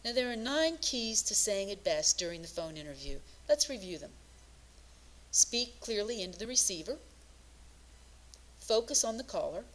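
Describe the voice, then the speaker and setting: A woman presenting scripted instructional narration as a career coach, speaking in a measured, instructive manner. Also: mid-range voice, expressive, rough recording.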